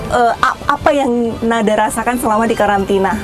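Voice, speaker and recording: A woman's voice: a little high, brisk. A woman doing a chatty live broadcast interview, encouraging and prompting with questions. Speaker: field reporter interviewing guests. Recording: music playing behind the voice.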